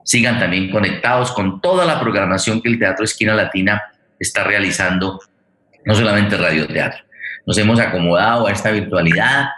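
A Colombian man hosting an online forum, speaking off the cuff and haltingly, often repeating and rephrasing his words. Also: lowish voice, pushed and bright. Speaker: host of an online radio theatre forum. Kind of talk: spontaneous closing remarks and thanks. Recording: roomy, echoey sound.

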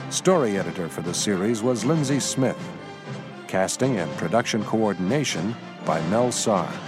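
A man narrates a radio drama in a fairly low voice. His delivery is scripted, solemn and measured, and music plays clearly behind him.

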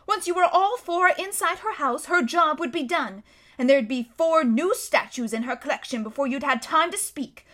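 A woman reading aloud voicing a practical, motherly female beaver who reasons things out briskly. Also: high-pitched, animated, a bit bright, pitched above the performer's own voice. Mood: reasoning.

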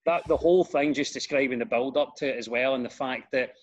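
A man's voice: medium pitch, brisk, lo-fi sound. Glaswegian man telling comic stories. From Scotland. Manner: storytelling, self-deprecating. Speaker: comedian guest. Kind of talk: casual chat.

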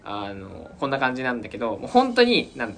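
A man chatting casually and enthusiastically as he pitches drama ideas, acting out imagined lines. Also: wildly swooping pitch, fast talker, vocal fry, a bit bright. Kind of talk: casual creative brainstorming chat.

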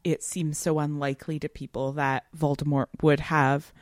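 Low-voiced woman chatting, dry close sound. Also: level tone, normal speed, dry recording. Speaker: podcast co-host. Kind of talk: casual literary discussion chat.

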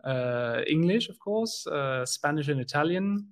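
A man from Belgium, speaking as a guest in a casual conversation, often pausing with fillers and restarting his sentences. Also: on the high side, expressive. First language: German. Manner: hesitant, many fillers and restarts.

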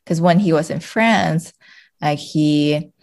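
A woman's voice: deep voice, slow pace, vocal fry, darkish tone. A woman in her twenties discussing books casually as a podcast guest, talking tentatively and informally as she searches for words. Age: 20s.

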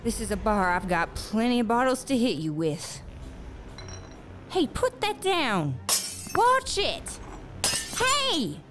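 A woman's voice: on the high side, very animated, slow, long pauses, music in the background. A woman playing a wary, gritty scavenger in a western audio drama, muttering wryly to herself. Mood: alarmed, fighting. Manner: wry, talking to herself.

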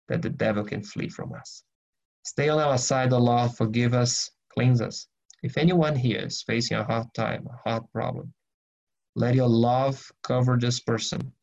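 Man, mid pitch, gravelly, group study leader on a lo-fi video call. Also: a little mellow. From Brazil. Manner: earnest, rambling, frequent restarts and fillers. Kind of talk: casual teaching and prayer in an online group session.

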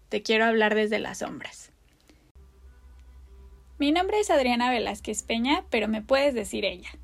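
A Mexican woman in her thirties, hosting her own podcast and openly introducing herself in an earnest manner. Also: a little high. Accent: Mexican Spanish. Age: 30s. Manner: open, earnest, warm self-introduction. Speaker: podcast host. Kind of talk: personal podcast monologue.

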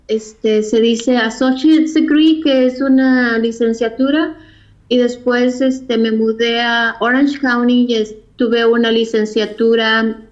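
A Mexican woman with a fairly high voice recounts her own path as an interview guest, pausing and searching for words as she reflects. The recording sounds muffled and lo-fi. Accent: Mexican Spanish. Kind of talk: personal storytelling in an interview. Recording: dull lo-fi recording.

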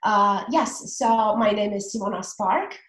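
A woman in her forties with a Romanian accent, a relationship coach speaking earnestly in a casual interview, often pausing on fillers. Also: mid-range voice, expressive, a bit bright, reverberant.

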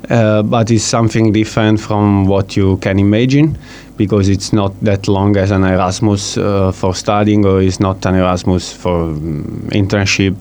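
A man's voice: fairly low pitch, fairly even intonation, moderate pace, few pauses, a little mellow, a little hiss or hum. A young man hosting a casual community radio chat, speaking hesitant English full of fillers. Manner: hesitant, filler-heavy non-native English. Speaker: radio show host.

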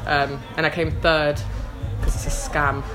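A young London woman with a low voice talks frankly and casually, full of fillers and quick laughs. She sounds like a guest at ease in conversation, with soft music faintly underneath. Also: little pitch movement. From London, England. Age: late 20s. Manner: chatty and frank, laughs often, lots of fillers. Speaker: rapper and DJ guest. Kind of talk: casual interview chat.

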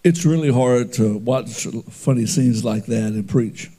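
A middle-aged man preaching a sermon as pastor, with a loud, carrying voice and an earnest, conversational delivery full of restarts. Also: medium pitch, unhurried. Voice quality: loud voice that carries.

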